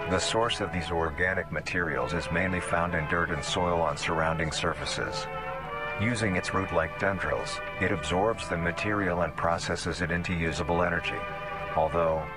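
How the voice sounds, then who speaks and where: A man acts as a solemn nature documentary narrator with a very low voice. The delivery is formal, measured and scripted, with music clearly playing behind it. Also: close to an everyday voice. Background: background music.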